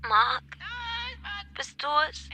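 An actress playing a frightened, exhausted young hostage, weak and halting, on the telephone in a radio drama. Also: female voice, pushed and bright, soft background music, heavily acted. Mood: dazed, fearful.

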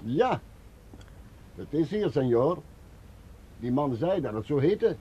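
A man's voice: a little high, big pitch swings, slow, mellow. An actor playing a humble coachman in a Dutch radio play, deferential and hesitant, on a muffled, noisy old recording. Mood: uneasy, respectful.

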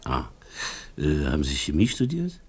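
Deep, husky, courtly gentleman. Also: huge pitch swings, moderate pace, full character voice. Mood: puzzled, probing. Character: courtly, amused gentleman dining with a young woman. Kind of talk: conversational radio drama dialogue.

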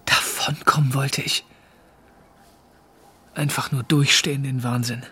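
An actor playing a weary young German soldier in a radio drama, speaking in a subdued, terse way. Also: male voice, mid-range voice, little pitch movement, unhurried, bright, forceful tone, slightly rough, heavily acted. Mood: weary, bitter. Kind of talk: radio drama acting, dialogue and narrated thoughts.

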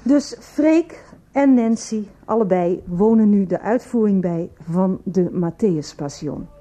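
Formal female narrator for radio drama, prim and patient, warm relaxed timbre, scripted scene-setting. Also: medium pitch, measured pace.

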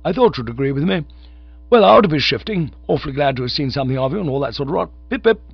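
A man voicing a silly, excitable young upper-class English gentleman in a storybook reading, plummy and wordy. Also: fairly high pitch, rapid-fire, gravelly, slightly rough, no echo, dull audio, voice pushed up, full character voice. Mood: breezy, self-satisfied. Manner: plummy, indignant or breezy, wordy.

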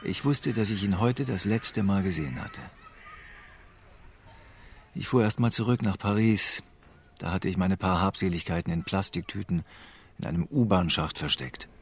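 A man's voice: moderate pace, pauses often, slight background noise. An actor playing a weary, melancholic homeless man who broods over his lost family in a radio drama, with subdued delivery. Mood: resigned, brooding. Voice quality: low, gravelly. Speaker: narrator and protagonist. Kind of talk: radio drama first-person narration and dialogue.

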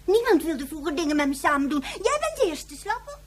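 A sulky young child in a radio drama. The voice is extremely high, and the child grumbles with blunt, bored scorn. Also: female voice, sing-song, brisk. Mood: grudging, warming.

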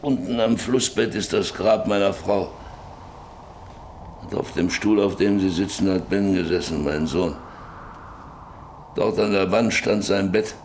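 An actor playing a sick, stubborn, lonely old rancher in a German radio play, halting and wistful, lost in memories. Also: male voice, sits low, darkish tone. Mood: wistful, haunted. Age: older. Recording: noisy radio play with ambient sound.

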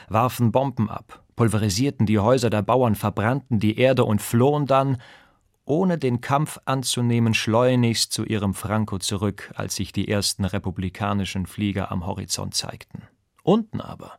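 Lower-pitched man reading in a dry studio. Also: no echo. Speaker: voice actor reading quotations. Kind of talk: scripted literary reading.

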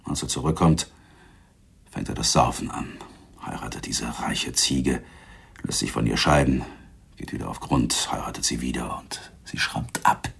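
An actor playing a menacing, boastful gangster with a gravelly husky voice, talking tough street slang in a radio crime drama. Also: male voice, a bass voice, moderate pace, fairly bright tone, in a whisper, heavily acted. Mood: grim, low. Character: menacing, boastful male gangster, war veteran with grudge.

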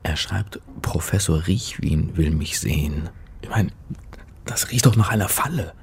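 An actor playing an uneasy doctor turned amateur sleuth who narrates a German radio drama. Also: male voice, deep voice, lively intonation, unhurried, vocal fry, husky, exaggerated voice.

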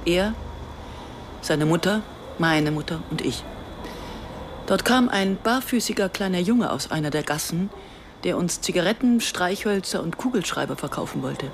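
A woman's voice: lowish voice, animated, normal speed, noisy background. An actress playing an Israeli woman writer in a naturalistic radio drama, teasing and a little awkward. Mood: reflective.

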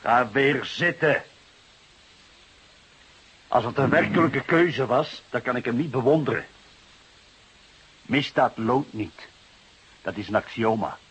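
An actor playing a seasoned, gruff and moralising police detective in a Dutch radio drama. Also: male voice, mid-range voice, lively intonation, takes it slowly, pauses often, a bit bright, heavily acted. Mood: stern. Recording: hissy, noisy radio drama recording.

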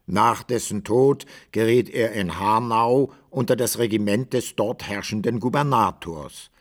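An actor playing an old-time author who narrates a radio drama in measured, dramatic and archaic phrasing. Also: male voice, on the low side, lightly acted. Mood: measured storytelling. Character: the author as measured, literary storyteller.

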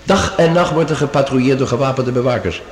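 A man's voice: mid-range voice, quick pace, natural voice. A male actor in a radio play as an affable curator on a Mars base, talking casually with a gossipy, hesitant manner. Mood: gossipy, puzzled. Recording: very echoey cave-like hall, faint noise.

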